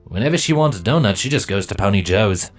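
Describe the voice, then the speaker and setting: A man's voice: fairly low pitch, animated, a little fast. A man voicing an easygoing, joking changeling father in a fantasy story. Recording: music behind the voice.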